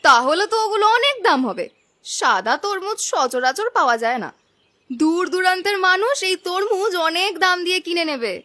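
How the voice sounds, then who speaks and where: A woman's voice: extremely high pitch, sing-song, moderate pace, sharp tone, exaggerated voice. A woman voicing a vain, greedy, sneering young village sister in a cartoon story, with a dismissive, mocking delivery. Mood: greedy, scheming. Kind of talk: Bengali cartoon story dubbing.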